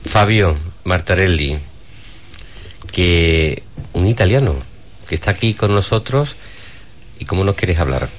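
A man's voice: low voice, animated, measured pace, long pauses, a little hiss or hum. A man hosting an interview who welcomes and introduces his guests. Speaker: host.

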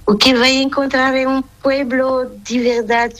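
A woman, a nun whose first language is Portuguese, speaking in a halting way, mixing Portuguese into her Spanish and searching for words. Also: high voice, flat delivery, fairly bright tone. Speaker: nun, interview guest. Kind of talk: phone interview.

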